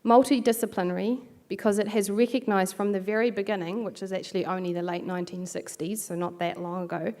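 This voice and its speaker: A woman's voice: medium pitch, fairly even intonation, fast talker, hardly any pauses, a little mellow. A middle-aged woman from New Zealand with a New Zealand accent, giving a reflective academic keynote with light self-deprecating asides. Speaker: keynote speaker. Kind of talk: academic lecture.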